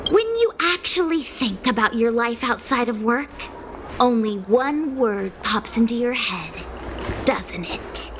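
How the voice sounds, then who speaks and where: A persuasive, world-weary young female worker in a video game. Her high voice moves animatedly as she coaxes with rhetorical flair. There is noticeable ambient noise behind her. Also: slow. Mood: pointed, probing. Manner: rhetorical, coaxing, expressive. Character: earnest, persuasive young working woman, world-weary but sympathetic.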